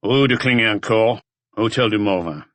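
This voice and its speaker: Man as a low, probing police chief. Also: normal speed, a bit bright, no room sound, natural voice. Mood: calm, probing. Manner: terse, methodical questioning. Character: police chief, calm, probing male detective.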